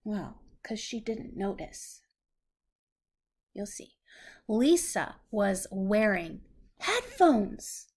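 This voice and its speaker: Very slow, patient woman reading aloud. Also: mid-range voice, big pitch swings, a little mellow, breathy edge. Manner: patient, simple teacherly phrasing. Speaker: adult reading a story aloud to a young child. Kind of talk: slow read-aloud storytelling with simple explanations to a child.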